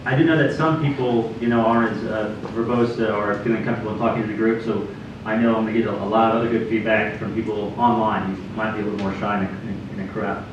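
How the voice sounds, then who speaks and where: Easygoing host in a dull echoey room. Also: male voice, fairly even intonation, fast talker. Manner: easygoing, wry, spells things out. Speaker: event organizer and host. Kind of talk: hosting a group feedback session. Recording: dull, echoey, distant with rumble.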